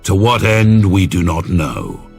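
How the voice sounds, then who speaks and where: An actor playing a cold, zealous inquisitor in a game cutscene, gravelly and solemn, speaking in slow, sententious pronouncements. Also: male voice, sits low, sing-song, heavily acted. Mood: grave warning. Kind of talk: dramatic video game cutscene acting. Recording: loud music behind the voice. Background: loud music.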